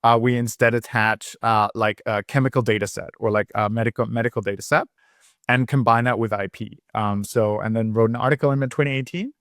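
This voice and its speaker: A man's voice: fairly low pitch, steady tone, brisk, fairly bright tone, dry recording. Man from switzerland, thirties, podcast guest, rambling with fillers, dry close sound. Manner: rambling, many fillers and restarts. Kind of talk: casual interview chat.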